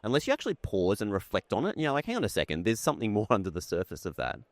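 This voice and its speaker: A man with a fairly low voice hosts a podcast interview. He talks quickly, his pitch moving a lot, and he softens his questions with friendly hedges. The sound is close and dry. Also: wildly swooping pitch, no room sound. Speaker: podcast host. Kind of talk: conversational interview. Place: conference venue.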